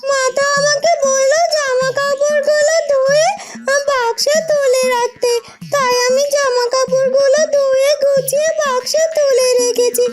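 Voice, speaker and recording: A woman's voice: very high-pitched, level tone, unhurried, faint music behind. A woman voicing a naive, whiny, simple-minded young village wife in a cartoon. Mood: whiny, defensive. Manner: childlike, coy, whining. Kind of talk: Bengali cartoon voice acting.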